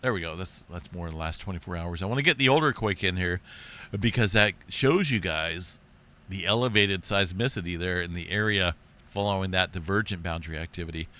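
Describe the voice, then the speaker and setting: A man hosting an earthquake update in a rambling, chatty way, his voice deep and congested from being sick. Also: brisk, pushed and bright. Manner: rambling, chatty, says "right?" and "big time" often. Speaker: earthquake and space weather channel host. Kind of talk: casual screen-share update.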